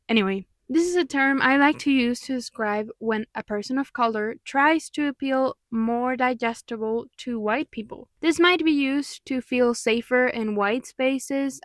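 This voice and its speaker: A woman's voice: fairly high pitch, no room sound, clean studio sound. A young woman from Mexico with a Mexican accent, whose first language is Spanish, giving candid, reflective commentary as a vlogger. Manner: earnest, candid, sometimes exasperated. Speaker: vlogger and pop artist.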